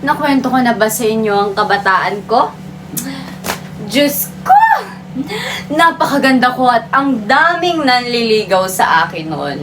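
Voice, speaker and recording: A woman playing a vain, pompous high-society doña in a theatrical monologue, scornful and scolding. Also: very animated, a little slow. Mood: boastful.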